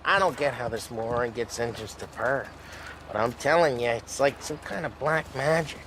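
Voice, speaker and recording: A man's voice: medium pitch, normal speed, slight background noise, close to an everyday voice. An actor playing a cocky, teasing male mobster in a video game. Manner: wisecracking, teasing, laughs loudly.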